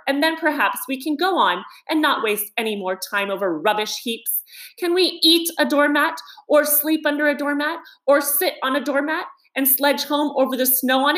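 A woman's voice: high-pitched, a bit bright, pitched above the performer's own voice. Woman voicing a plaintive, indignant little storybook mole. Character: grumbling, literal-minded male mole, small storybook animal.

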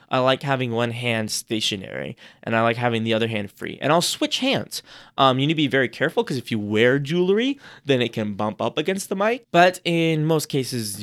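A man giving casual advice on public speaking on a podcast, chatty and rambling, restarting his sentences often, his voice a little hoarse. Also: medium pitch. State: slightly hoarse. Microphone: podcast microphone.

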